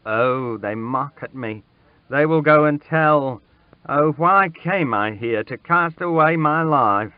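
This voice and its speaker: A man's voice: mid-range voice, little pitch movement, slow pace, clear, resonant tone, dry recording, pitched above the performer's own voice, lightly acted. A man reading aloud and voicing a frightened poor boy who pleads and stammers in old-fashioned speech. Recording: dull lo-fi dry recording.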